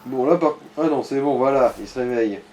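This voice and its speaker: A man's voice: mid-range voice, brisk, darkish tone, slight background noise, rough recording. A man chatting casually as he guides a player through a game, in informal, crude slang. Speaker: co-commentator guiding the player. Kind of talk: casual gaming chat.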